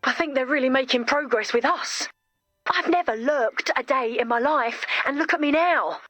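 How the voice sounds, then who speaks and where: An actress playing a timid, apologetic and jittery young female camper with an overly polite manner, in an animated game. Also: high-pitched, moderate pace, dry recording, soft background music. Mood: sheepish. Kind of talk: animated video game voice acting.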